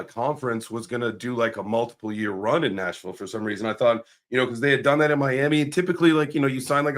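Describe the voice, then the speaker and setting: A man hosting a casual crypto livestream. His voice is raspy and hoarse, and he talks in upbeat hype with lots of filler words. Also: medium pitch, fairly bright tone. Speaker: livestream host.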